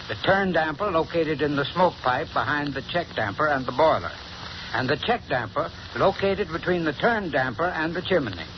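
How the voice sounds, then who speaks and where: Earnest man giving a radio sponsor pitch. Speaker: sponsor's heating expert. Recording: noisy dull 1930s radio recording.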